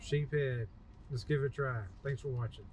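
A man hosting a casual fishing vlog outdoors, excitedly coaching with short, repeated, urgent calls. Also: medium pitch, normal speed. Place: outdoors on a fishing jetty by the water. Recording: muffled, very noisy outdoor recording. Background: loud wind and water noise.

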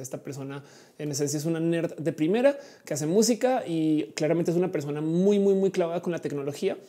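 A woman's voice: lowish voice, dark tone. A woman in her forties hosting a livestream, reading viewers' messages in a chatty, playful way with lots of fillers. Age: 40s. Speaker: livestream host reading chat. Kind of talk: casual live chat.

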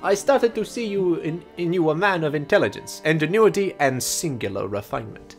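A snooty, roguish French gentleman thief, as a man reads him out, grand and full of himself. The delivery is lively and swings in pitch. Faint music plays behind. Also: brisk. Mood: haughty. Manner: grandiose, mock-French reading of a letter. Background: game music.

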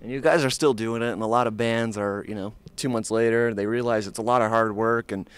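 A man's voice: lowish voice, fairly even intonation, fairly quick, clean tone, no echo. A young man hosting a music TV interview, asking leading questions and plugging his show, with a handheld mic at an indoor venue. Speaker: show host.

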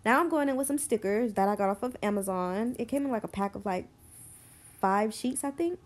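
A woman's voice: a little high, fairly quick. A young woman narrates a nail tutorial in a loose, chatty way, full of fillers and asides. Her voice sounds stuffy and congested, as if she has a cold. She speaks close to the mic. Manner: rambling, chatty, lots of fillers. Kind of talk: casual voice-over tutorial.

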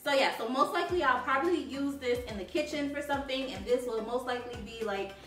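Young woman vlogger from Haiti, bright, chatty and bubbly, seated on a couch, recorded roomy from a distant camera mic. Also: high voice, fast, faint music behind. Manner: chatty, bubbly, talks to viewers with many "like"s. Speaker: home decor vlogger. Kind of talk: casual haul video. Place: living room, seated on a sofa.

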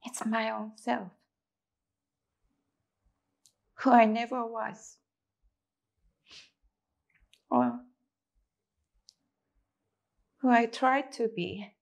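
A woman acting a despairing, resigned grown daughter in a slow, halting stage monologue, with a husky, breathy voice. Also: on the high side. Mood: anguished, resigned. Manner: halting, deliberate, long pauses between short phrases. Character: despairing, resigned adult daughter confronting her mother.

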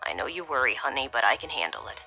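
A woman voicing a weary, hushed security chief. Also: very deep voice, sing-song, quick pace, soft background music, pitched below the performer's own voice, exaggerated voice, low-quality recording. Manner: tired, subdued, half-whispered. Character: weary middle-aged male security chief.

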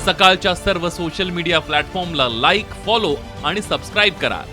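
A man's voice: high-pitched, moderate pace. A man reading a scripted promotional voice-over as a channel announcer. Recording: music under the voice. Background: music.

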